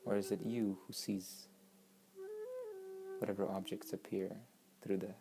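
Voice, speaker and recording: A woman leading a guided meditation in a measured, instructing manner, with long pauses between short phrases. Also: extremely low pitch, little pitch movement. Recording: voice over meditation music with flute.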